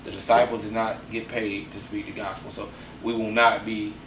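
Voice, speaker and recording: A man's voice: fairly low pitch, little pitch movement, normal speed, fairly bright tone, a little hiss or hum. Earnest forty-year-old man, distant roomy mic. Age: 40s. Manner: earnest, preachy, repeats "you know what I'm saying". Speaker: podcast host. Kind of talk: casual podcast discussion.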